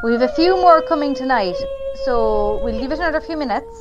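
A woman's voice: high-pitched, lively intonation, a little fast, highs cut off, over loud music. A woman playing a friendly, organising Irish parish group chair in an amateur radio drama. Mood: welcoming. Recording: very noisy room ambience.

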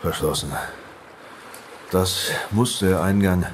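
An actor playing a wary, hushed male companion in a horror audio drama. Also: deep voice, slow, slight background noise.

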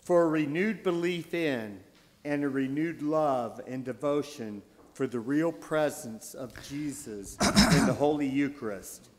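Slow older man reading church petitions. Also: mid-range voice. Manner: slow, deliberate reading with pauses. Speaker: deacon reading intercessions. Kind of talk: reading prayers of the faithful. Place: church, at a lectern. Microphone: lectern mic. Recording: reverberant church hall.

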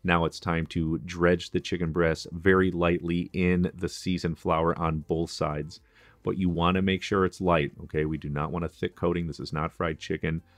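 A man's voice: low voice, level tone, normal speed, no room sound. A man explaining a recipe step by step as a chef in a cooking tutorial, in a friendly, instructive way. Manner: instructive, friendly, practical kitchen tips.

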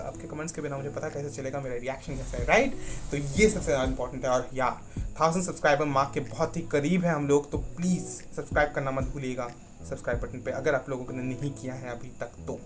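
A young man, chatting excitedly and rambling as he reacts to a song, correcting himself often. He speaks into a webcam in a small room indoors. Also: medium pitch. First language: Bengali. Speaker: YouTube reaction channel host. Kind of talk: casual music reaction vlog.

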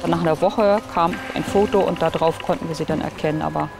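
An older woman, a former care worker, recalling a girl in an outdoor interview with halting restarts and tag questions. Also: sits low, fairly even intonation, vocal fry, music in the background. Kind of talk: recorded interview. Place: outdoors in a courtyard.

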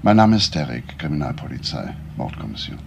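A man playing a courteous, probing homicide detective in a crime drama, speaking in a measured, polite way. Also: very deep voice, very animated, creaky, muffled recording, a little hiss or hum, full character voice. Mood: calm, measured. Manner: measured, polite, hesitant at times. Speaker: actor. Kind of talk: German TV crime drama dialogue.

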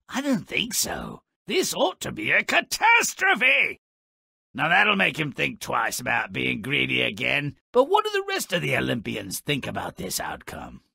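A nasty little storybook imp narrating a game, gravelly and sharp-edged. His delivery lurches wildly up and down in pitch, slow and savored, full of sardonic glee and malice. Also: no echo, studio quality, exaggerated voice. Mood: gleeful spite. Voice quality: gravelly, raspy. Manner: sardonic, exaggerated, relishing malice. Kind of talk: theatrical scripted game narration.

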